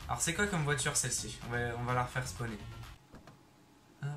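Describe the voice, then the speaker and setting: Excitable young man gaming in a roomy bedroom. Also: medium pitch, a little fast. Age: young adult. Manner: chatty, excitable, bursts into English exclamations. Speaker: gaming YouTuber. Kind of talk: casual gameplay commentary. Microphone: headset. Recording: roomy sound with game music behind.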